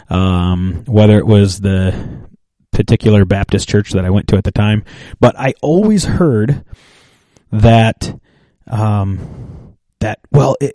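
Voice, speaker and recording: Slow, halting man in a mellow voice. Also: on the low side, no echo. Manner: thoughtful, halting, with restarts and fillers. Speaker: podcast co-host. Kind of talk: casual chat.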